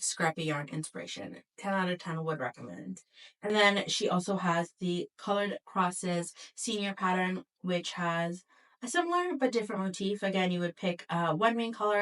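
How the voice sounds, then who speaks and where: A woman's voice: mid-range voice, fairly bright tone, recorded from a distance, low-quality recording. A woman vlogging casually to camera, her voice a little nasal from a cold, chatty with frequent fillers. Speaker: knitting vlogger.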